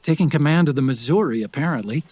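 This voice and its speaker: A game hero's tech-minded support partner. His voice sits fairly high for a man, with a slight husk. He explains and briefs earnestly. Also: quick pace, a little mellow, slightly rough, no room sound. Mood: conversational. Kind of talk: video game cutscene acting.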